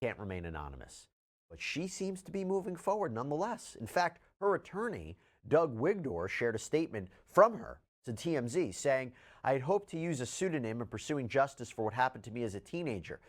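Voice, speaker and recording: Male legal news commentator, explanatory and emphatic, lively varied pitch. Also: a little fast.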